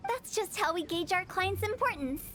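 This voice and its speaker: A woman's voice: very high-pitched, lively intonation, normal speed, a bit bright. A woman playing an eccentric, mischievous young funeral director in a video game, with a theatrical, teasing, persuasive delivery. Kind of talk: video game character acting.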